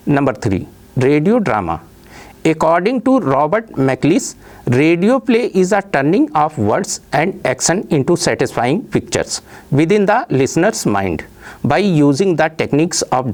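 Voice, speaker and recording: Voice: medium pitch, a little mellow. A lecturer reading a scripted educational lesson in a formal, textbook manner, setting out points in order. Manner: formal, enumerating, textbook-like delivery.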